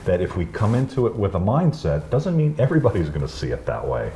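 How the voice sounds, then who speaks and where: A man's voice: lowish voice, wildly swooping pitch, fast talker, dark tone, a little hiss or hum. A man being interviewed about his organization, speaking in a casual, rambling way and often restarting his sentences. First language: English. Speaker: guest, chamber founder.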